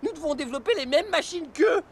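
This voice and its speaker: An actor playing an eager, nervous, deferential soldier-like football player in a French-dubbed cartoon. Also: male voice, very high voice, lively intonation, fast, a little hiss or hum. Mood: dutiful. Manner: deferential, military.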